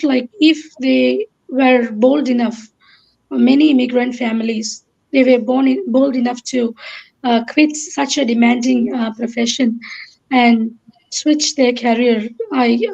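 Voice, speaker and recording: A woman from Kerala speaks with a Malayali Indian accent as a native Malayalam speaker. Her voice is fairly high with a gravelly fry, and she answers hesitantly in short phrases. The online call sounds dull and lo-fi. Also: a little mellow. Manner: hesitant, piecing thoughts together in short phrases. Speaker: interview guest. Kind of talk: unscripted personal account.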